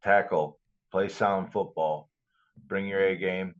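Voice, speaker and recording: A man chatting casually about sports as a podcast co-host, blunt and halting, often repeating himself. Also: low-pitched, steady tone, dull audio.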